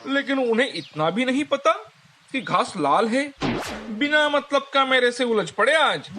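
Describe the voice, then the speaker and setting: Man playing a high-pitched, theatrical cocky donkey. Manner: whining, boastful, theatrical. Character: cocky argumentative male donkey, gloating and petty. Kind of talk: animated moral story dialogue.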